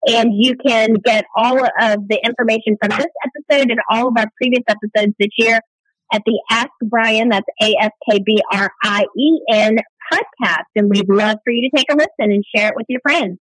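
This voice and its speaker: A woman's voice: a little high, a bit bright, dry recording, poor audio. A woman co-hosting a talk radio show, upbeat as she asks questions and reads out promotions.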